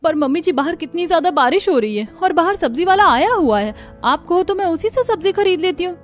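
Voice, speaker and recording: A woman's voice: very high voice, expressive, fairly quick, faint music behind, voice pushed up. A woman voicing a harried, resentful young daughter-in-law in a family cartoon, with an exasperated, complaining manner. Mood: polite, protesting. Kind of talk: Hindi family cartoon dubbing.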